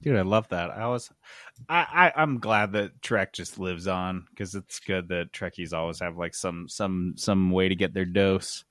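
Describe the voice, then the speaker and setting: Bright, lowish-voiced male podcast co-host. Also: normal speed, no echo. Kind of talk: casual chat.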